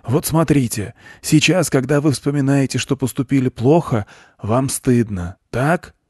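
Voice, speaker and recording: A man's voice: mid-range voice, a little slow, a little mellow, breathy edge, no echo, studio quality, voice pushed up. A man voicing a pompous, persuasive male goat who preaches solemnly in a children's story. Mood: insistent preaching. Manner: solemn, self-important, preachy.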